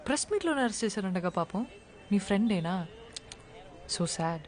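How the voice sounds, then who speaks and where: An actress playing a sharp, blunt young woman lawyer who talks briskly and scolds freely. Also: medium pitch, animated, lightly acted. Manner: brisk, scolding, mixing English into Telugu. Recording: film soundtrack with clear music behind.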